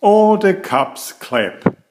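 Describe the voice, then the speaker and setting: A man reading a picture book aloud to young children in an expressive, deliberate storytelling manner. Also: sits high, background noise. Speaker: picture-book reader and author of children's stories.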